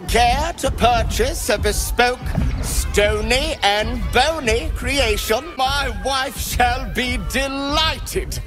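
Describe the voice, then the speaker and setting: A man's voice: very high voice, takes it slowly, music in the background. A man voicing a flamboyant, gushing stone-creature sculptor in a video game, florid and theatrical. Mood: gushing, salesmanlike. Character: flamboyant, gushing stony gargoyle-like sculptor merchant. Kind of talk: video game character acting.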